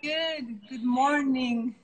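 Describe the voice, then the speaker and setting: A woman's voice: high-pitched, slightly rough. A middle-aged woman from Chile with a Chilean Spanish accent, a native Spanish speaker, talking as a guest in a casual interview with frequent restarts. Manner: warm, halting, frequent restarts. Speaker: artist guest. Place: art studio.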